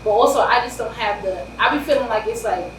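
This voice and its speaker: An animated woman with a New York accent chats loudly as an interview guest, words tumbling out in quick bursts. Her voice sits a little high. She sounds distant in a roomy space with a faint hiss. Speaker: author guest. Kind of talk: casual interview chat.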